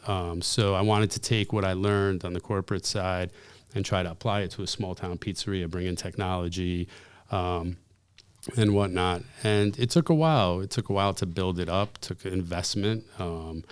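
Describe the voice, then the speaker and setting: A man in his forties, a pizzeria owner from the United States, telling rambling, animated stories as a podcast guest. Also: sits low, normal speed, smooth, dry recording. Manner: rambling, animated, self-deprecating. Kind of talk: casual interview storytelling.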